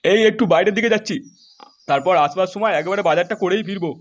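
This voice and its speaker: Man voicing a high-pitched, jealous cartoon husband. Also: fast talker, muffled recording, loud music behind the voice, close to an everyday voice. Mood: irritated, evasive. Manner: brash, swearing, threatening. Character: jealous, foul-mouthed husband, gang boss of his buddies. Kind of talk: crude comedy cartoon voice acting.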